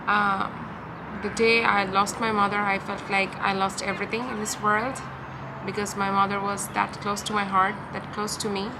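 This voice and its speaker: A young woman speaks with a Bangladeshi accent at a middling pitch, confiding in a halting, personal way as if to viewers she trusts. She is recorded outside in a park, with clear ambient and vehicle noise around her voice. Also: flat delivery. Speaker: vlogger. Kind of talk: personal talk-through to camera. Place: outdoors in a park. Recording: outdoor park noise, distant traffic.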